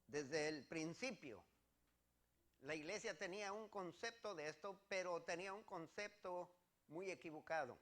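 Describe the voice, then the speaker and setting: A male pastor with a Mexican accent and a fairly high voice delivers a sermon. He teaches from scripture step by step, leaning on repetition for emphasis. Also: a little slow, fairly bright tone. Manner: emphatic, repeats phrases, explains step by step.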